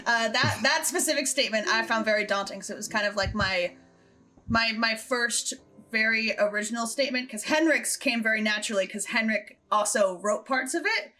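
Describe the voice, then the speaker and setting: A woman's voice: fairly high pitch, a little fast, pushed and bright. A woman speaking as a show's creator and host in a casual group chat. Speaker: show creator, editor and host. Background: background music.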